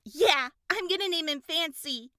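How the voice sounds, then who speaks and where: A woman's voice: extremely high pitch, lively intonation, measured pace, pushed and bright, dry recording, studio quality, heavily acted. A female performer playing a self-assured, matter-of-fact young girl in an audio drama, with a childlike manner. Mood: decided.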